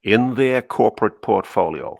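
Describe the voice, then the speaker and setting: A man's voice: on the low side, animated, measured pace, creaky, a little mellow, dry recording, studio quality, exaggerated voice. A man playing a guarded senior admiral in a dramatic reading, recounting secrets in clipped phrases. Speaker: co-host reading a part. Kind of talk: dramatic cold reading of a memo transcript.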